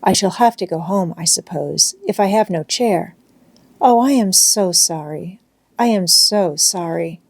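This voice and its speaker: A woman reading a storybook as a gentle, polite young girl, frail and earnest. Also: on the low side, unhurried, vocal fry, breathy edge, no room sound, heavily acted. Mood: sorrowful.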